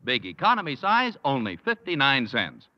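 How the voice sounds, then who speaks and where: A man's voice: mid-range voice, huge pitch swings, normal speed, no room sound. A man announcing a 1950s radio commercial in a persuasive, emphatic way. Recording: dry, dull old radio sound.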